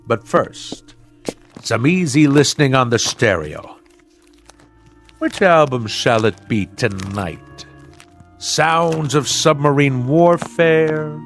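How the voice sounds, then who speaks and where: Blustery navy nut husband, radio play, super animated n pompous, drawn out words, bright forceful voice. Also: male voice, on the high side, full character voice. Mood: jovial, grandiose. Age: middle-aged. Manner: pompous, theatrical, bellowing, florid nautical speech. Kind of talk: radio drama acting.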